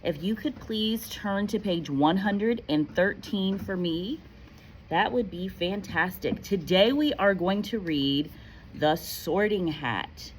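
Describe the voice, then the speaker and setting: A woman teacher reading aloud to pupils, expressive and addressing her listeners directly, moving between storytelling and casual classroom chat. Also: medium pitch, takes it slowly. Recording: faint background noise.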